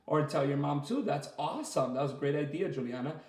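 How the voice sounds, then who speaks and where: Male children's coach, high-pitched, encouraging and simple in his explanations, recorded in an echoey room. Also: animated, darkish tone. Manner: encouraging, praising, explains slowly and simply with repetition. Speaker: martial arts coach leading children's story time. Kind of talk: interactive children's lesson, chatty.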